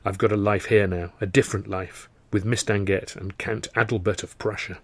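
A man voicing a hurt, guarded young woman, soft and reproachful, in an audiobook. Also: on the low side, fairly even intonation, moderate pace, no echo. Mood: quiet, resigned. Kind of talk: audiobook character voice.

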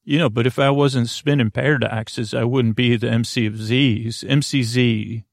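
A man hosting a sleep podcast in a creaky, gravelly voice, rambling casually and whimsically, often halting and interrupting himself as he drifts off on tangents. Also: mid-range voice, fairly even intonation, no echo, studio quality. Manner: meandering, self-interrupting, halting with trailing tangents; whimsical, mildly absurd. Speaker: podcast host of bedtime stories. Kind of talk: rambling casual monologue meant to lull listeners to sleep.

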